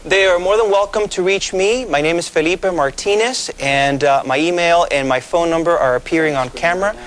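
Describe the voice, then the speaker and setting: A man in his thirties from Mexico, a pastor with Spanish as his first language, explaining things in a measured way with many fillers during a TV studio interview. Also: fairly high pitch, fairly bright tone, slight background noise. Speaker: pastor, guest.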